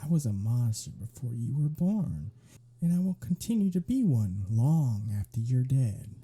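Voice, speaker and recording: A man's voice: medium pitch, sing-song, moderate pace, dark tone, breathy edge. A man playing a smug, centuries-old vampire in an intimate ASMR roleplay, teasing with a sarcastic drawl. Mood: menacing, savoring.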